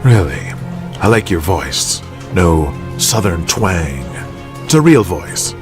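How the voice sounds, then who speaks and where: Casting: male actor for cocky, bullying promoter in an audio drama, low-pitched, sneering and foul-mouthed. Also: sing-song, takes it slowly, music in the background, exaggerated voice. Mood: brash, sizing up. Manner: contemptuous, profane, commanding. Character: brash, foul-mouthed, bullying young male wrestling boss.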